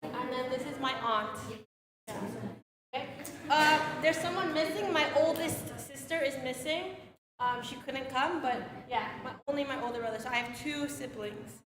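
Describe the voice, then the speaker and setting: A young American woman who grew up speaking Korean talks to a room of students in a chatty way, with many fillers and restarts. Her voice is high. She is recorded in a long, echoing school hall while the audience murmurs behind her. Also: normal speed, crowd noise. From United States. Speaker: guest speaker. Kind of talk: casual presentation to students. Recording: echoey hall with audience noise.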